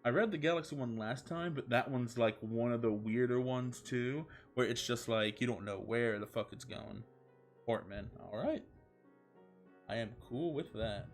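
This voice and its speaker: A man streaming a comedic fan fiction reading with casual chat, amused and given to swearing. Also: mid-range voice. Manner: amused, profane.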